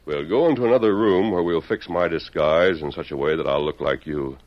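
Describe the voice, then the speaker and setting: A man playing a brave, commanding masked western hero, firm and deliberate, in an old-time radio drama. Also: extremely low pitch. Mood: firm, urgent. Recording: dull old radio recording.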